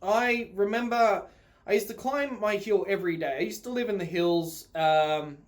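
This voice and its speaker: A man with a notably high-pitched voice and an Australian accent tells a story in a casual vlog style. He rambles informally and swears freely, recording himself on a phone. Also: normal speed. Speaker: vlogger.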